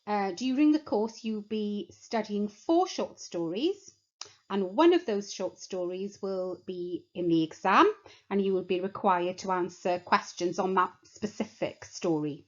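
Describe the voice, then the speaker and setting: A woman teacher giving a measured talk that explains a course to prospective students. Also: medium pitch, lively intonation, lo-fi sound. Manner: measured, explanatory, lists points slowly.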